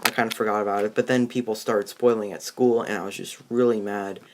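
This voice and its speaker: A teenage boy in a casual movie discussion, talking in a halting, rambling way. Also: lowish voice, steady tone, a little hiss or hum. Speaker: movie review host. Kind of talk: casual chat.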